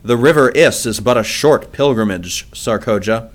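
A man voicing a stern alien warrior chieftain who delivers grave, measured threats in an audiobook. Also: mid-range voice, natural voice. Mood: menacing.

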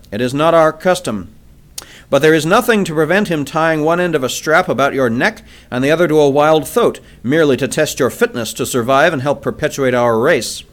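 A stern alien warrior chief delivers cold, measured threats, as a man narrating an audiobook puts him on. The voice sits raised and loud above the narration, and each word lands heavily. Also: a little high, voice pushed up, lightly acted.

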